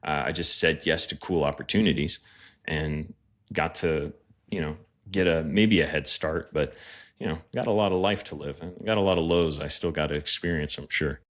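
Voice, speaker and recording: A man in his early thirties with a deep, low voice, speaking as a podcast guest. He thinks out loud in a rambling, self-deprecating way, circling back with fillers as he reflects. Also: moderate pace. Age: 30s.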